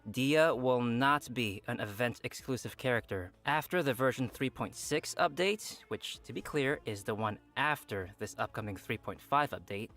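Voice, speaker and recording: A man co-hosting a game preview show, presenting updates in a playful, animated way with banter. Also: mid-range voice, normal speed, few pauses.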